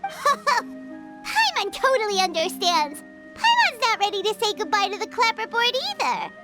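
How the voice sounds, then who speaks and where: A tiny, squeaky fairy sidekick from a video game. The childlike voice is extremely high and bouncy, cutesy and chatty, over prominent music. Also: female voice, big pitch swings, measured pace, heavily acted. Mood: gleeful. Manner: squeaky, cutesy, refers to self by name.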